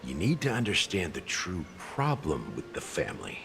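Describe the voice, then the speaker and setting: An actor playing a wry, middle-aged male investigator in a video game, telling things in a measured way. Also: deep voice, huge pitch swings, creaky, a little hiss or hum, full character voice. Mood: frank, weary. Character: older male family investigator and drink mixer, wry, unhurried. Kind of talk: video game story voice acting. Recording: game music softly behind.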